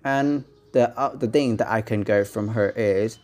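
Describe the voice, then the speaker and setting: A young man from Myanmar, a Mon speaker, talks through a slide presentation in plain learner's English. He goes slowly and steadily, often listing things one by one. His voice sits a little low. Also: darkish tone. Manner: simple learner's English, list-like delivery. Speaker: student presenter.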